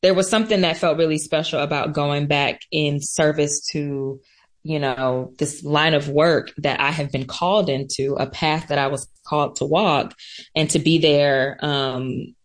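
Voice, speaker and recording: A woman's voice: sits low, steady tone, measured pace. A woman with an American accent speaking as an interview guest, reflective and thoughtful, searching for her words. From coastal North Carolina, US. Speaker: interview guest, farmer and florist.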